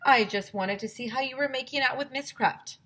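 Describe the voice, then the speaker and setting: A woman's voice: sits high, brisk, bright, forceful tone, pitched above the performer's own voice. A woman voicing a friendly, oblivious man from a school in a cheery manner for a storybook.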